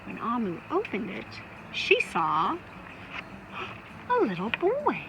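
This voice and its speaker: A woman reading a children's picture book aloud, expressively, with dramatic emphasis and drawn-out phrases. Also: fairly high pitch, huge pitch swings, noisy background. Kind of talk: expressive scripted read-aloud for young children.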